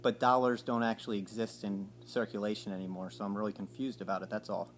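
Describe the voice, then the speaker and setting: A man in his forties at home, asking slow, hesitant, mock-innocent questions on a recorded phone call. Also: on the low side, flat delivery, soft background music. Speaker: caller. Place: home living room by a window.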